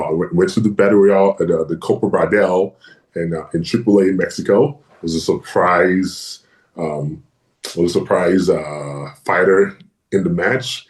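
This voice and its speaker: A very low-voiced man from Nigeria whose first language is Yoruba, answering interview questions over a video call. He talks in a boastful, easygoing way, leaning on "you know" between his thoughts. His voice rings in a roomy, echoey space. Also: darkish tone. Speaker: guest wrestler. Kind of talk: casual interview.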